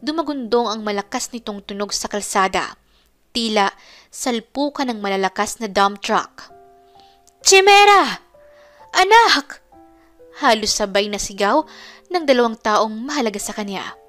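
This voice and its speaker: A woman's voice: mid-range voice, moderate pace, fairly bright tone. A woman narrating a scripted romance story in a dramatic storytelling style. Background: background music.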